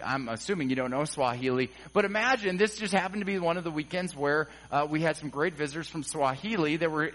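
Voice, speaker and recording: A man preaching a sermon, casual and playful, acting out quoted dialogue and building to excited peaks. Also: a little high, rough recording. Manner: conversational, acts out quotes with humor, rising excitement. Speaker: pastor.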